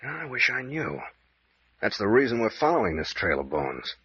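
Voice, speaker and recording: An actor playing a gruff, bossy captain in an old radio thriller, brusque and slangy, with a dry, bold streak. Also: male voice, on the low side, sing-song, moderate pace, no room sound, full character voice. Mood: wry, determined. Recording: dull lo-fi old radio recording.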